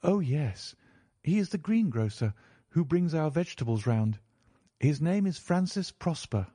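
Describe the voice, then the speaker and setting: A man voicing an earnest, gentle young lady with a polite, pleading manner in a storybook reading. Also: on the high side, big pitch swings, measured pace, breathy edge, no room sound, clean studio sound, pitched above the performer's own voice, full character voice. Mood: polite, candid.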